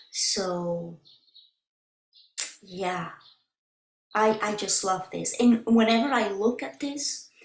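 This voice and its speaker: A woman whose first language is Spanish talks through her website at a very unhurried pace, her middle-pitched voice halting on fillers and restarts. The recording carries a noticeable roomy echo from a computer mic. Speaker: crystal healer presenting her website. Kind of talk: screen-share walkthrough.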